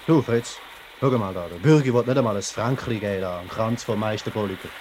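An actor playing a pushy, plain-spoken factory worker with a Swiss German accent in a 1950s radio play, nagging in colloquial speech. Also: male voice, fairly low pitch, a little fast, darkish tone, lightly acted. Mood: indignant. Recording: old radio recording with background noise.

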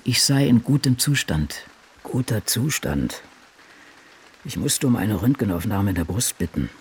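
Man as an ageing woman novelist, animated and brooding. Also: mid-range voice, slightly rough. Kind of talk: literary radio drama monologue, reading diaries and drafts.

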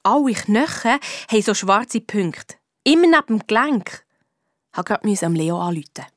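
A woman's voice: medium pitch, animated, normal speed, no echo, clean studio sound, natural voice. A woman voicing a young girl detective with a Swiss accent, eager and blunt, telling her story colloquially in a children's radio play. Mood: frank, narrating.

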